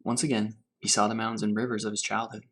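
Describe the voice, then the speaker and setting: A man reading a storybook aloud as a teacher for children, pausing to put questions to his young listeners. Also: lowish voice, little pitch movement, slight background noise. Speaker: teacher reading to fourth graders.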